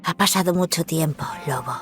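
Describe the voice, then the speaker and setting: A woman with a Castilian Spanish accent voicing a composed young boy of noble rank in a video game, formal and measured. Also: lowish voice, lightly acted. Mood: calm, authoritative. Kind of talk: dramatic video game dub. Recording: music under the voice.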